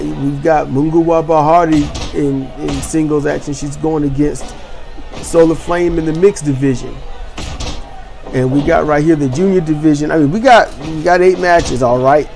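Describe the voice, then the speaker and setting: Host voice: energetic rambling man, fairly high voice, game music behind. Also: creaky. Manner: energetic, chatty, rambling with restarts. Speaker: host and commentator. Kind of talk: video game wrestling commentary.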